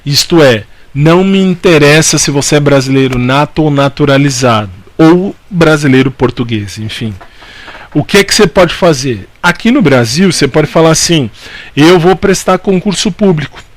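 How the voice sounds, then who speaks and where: A man's voice: on the high side, animated, normal speed, no room sound. A Brazilian man giving an informal exam-prep law lecture, repeating key points and answering his own questions in a didactic way. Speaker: law teacher.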